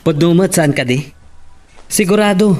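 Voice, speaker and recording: Lalaki nga agak-akto iti mannakikadua a gayyem. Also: male voice, a little high, expressive, slow pace, a little mellow. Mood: teasing. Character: loyal male buddy, familiar and inquisitive. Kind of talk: Ilocano radio-style drama acting. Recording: clear background noise.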